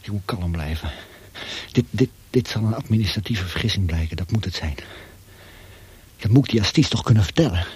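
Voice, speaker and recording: Husky, breathy captive man, muttering to himself. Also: lowish voice, expressive. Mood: tense, reasoning. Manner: hushed, halting interior monologue. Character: terrified imprisoned male writer, reasoning with himself alone. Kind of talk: Dutch radio play monologue.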